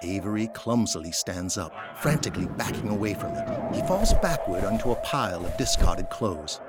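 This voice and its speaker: A man's voice: lowish voice, huge pitch swings, normal speed, very noisy. A man narrating an audio drama in a scripted, dramatic present-tense style.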